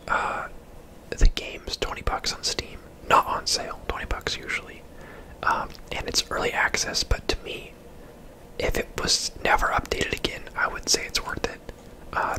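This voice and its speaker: Asmr gamer whispering the whole time, breathy and chill, casual game commentary. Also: low-quality recording. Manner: laid-back, casual. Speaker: host, gamer.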